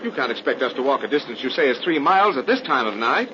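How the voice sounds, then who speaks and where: A man's voice: mid-range voice, very animated, fast, dull audio, lightly acted. A man playing an indignant, exclamatory Northern city man in a 1930s radio drama, on a noisy old recording. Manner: emphatic, exclamatory.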